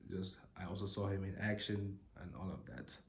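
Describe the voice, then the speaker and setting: A man in his twenties, hoarse from a cold, telling a personal story slowly and thoughtfully to his channel. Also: low-pitched, reverberant. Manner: slow, thoughtful storytelling with pauses. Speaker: channel host. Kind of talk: reflective personal monologue.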